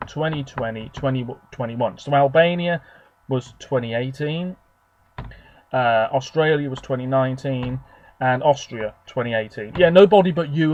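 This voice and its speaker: A man hosting his own video, talking casually and at length about his favourite entries, a little hoarse and husky from a sore throat. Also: medium pitch, a little mellow, highs cut off, a little hiss or hum. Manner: rambling, chatty, opinionated. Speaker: video host. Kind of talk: casual solo commentary.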